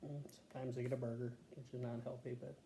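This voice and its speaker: A man answering health questions as a patient, casual and matter-of-fact, pausing to think in short answers. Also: mid-range voice, flat delivery, dark tone. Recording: echoey room with faint background noise.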